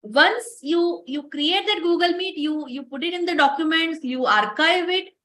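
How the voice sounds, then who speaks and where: A woman's voice: very high-pitched, normal speed, bright, forceful tone. A woman giving an online lecture over a video call, earnest and addressing participants directly. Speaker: workshop lecturer.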